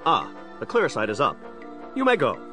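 A man's voice: mid-range voice, huge pitch swings, moderate pace, over music. An actor playing a menacing, theatrical crime boss with a split personality in an audio drama, gloating as he talks. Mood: smug, commanding. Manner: theatrical, gloating, speaks of himself as "we".

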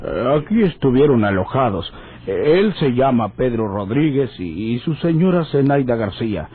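An actor playing a nervous, deferential hotel clerk, halting as he speaks in a radio serial. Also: medium pitch, very animated, close to an everyday voice. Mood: uneasy, polite. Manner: hesitant, halting. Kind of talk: old radio serial acting. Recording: tinny old radio recording.

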